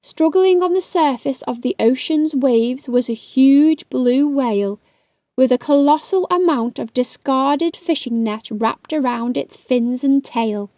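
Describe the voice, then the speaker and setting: A woman's voice: high voice, unhurried, warm tone, dry recording, studio quality. A woman reading aloud to young children as a storytime host, coaxing them along with questions. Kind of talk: children's read-aloud narration.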